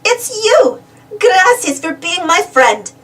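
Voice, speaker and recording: A woman reads a picture book aloud for small children in a high voice. Her delivery swings widely in pitch and speaks straight to the young listener. She sounds slightly away from the microphone. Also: slow pace, far from the mic, a little hiss or hum. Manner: expressive, asking questions directly to young listeners. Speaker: storybook reader. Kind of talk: animated read-aloud storytelling for young children.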